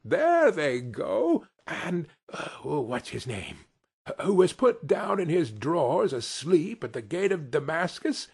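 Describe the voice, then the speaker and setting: A man playing an excitable, high-pitched old man. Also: slow pace, vocal fry, breathy edge, exaggerated voice. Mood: excited delight. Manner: exclaiming, between laughing and crying. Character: excitable old man, tearfully nostalgic, boyishly delighted. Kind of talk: dramatized storybook character voice.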